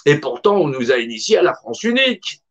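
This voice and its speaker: A man's voice: on the high side, big pitch swings, fairly quick, fairly bright tone. A middle-aged man speaks in an impassioned monologue with a slightly raised voice. He is emphatic and colloquial and hammers on repeated phrases. The recording sounds dull and lo-fi.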